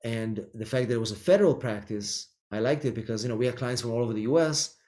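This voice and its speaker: A man's voice: mid-range voice, fast, darkish tone. Man from Israel, middle-aged, immigration lawyer, thoughtful, lots of ums and restarts, medium voice. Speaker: immigration attorney, guest. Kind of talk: conversational interview answers.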